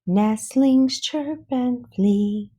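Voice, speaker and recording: A woman reading a children's storybook, voicing an encouraging, exacting woman orchestra teacher who gives brisk, bright instructions. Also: a little high, breathy edge, voice pushed up, exaggerated voice. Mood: bright, instructive. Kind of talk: children's storybook read-aloud.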